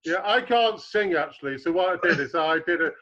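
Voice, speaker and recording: A man with a low baritone voice, a guest author in a podcast interview, explaining at length with homely comparisons. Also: lively intonation, brisk, pushed and bright, muffled recording.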